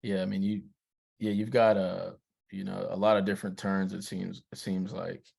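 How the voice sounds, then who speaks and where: A man hosting a podcast, asking relaxed, thoughtful interview questions. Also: deep voice, normal speed, creaky, slightly rough. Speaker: podcast host.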